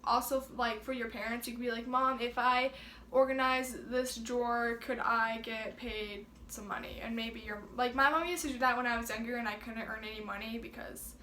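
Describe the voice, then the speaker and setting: Bright, chatty teen girl. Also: fairly high pitch, steady tone, moderate pace, a little hiss or hum. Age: teens. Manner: chatty, many filler "likes". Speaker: co-host. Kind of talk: casual chat. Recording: echoey room.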